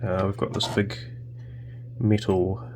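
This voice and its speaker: A man narrating casually and haltingly as he tinkers at a workbench, thinking aloud. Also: fairly low pitch, little pitch movement, noisy background. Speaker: host of electronics tinkering videos.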